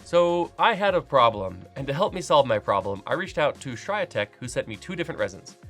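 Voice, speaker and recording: A man's voice: mid-range voice, sing-song, brisk, fairly bright tone, soft background music. A man hosting a casual product review while congested with a cold, his voice nasal, chatty and loose with fillers and restarts. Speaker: 3D printing channel host.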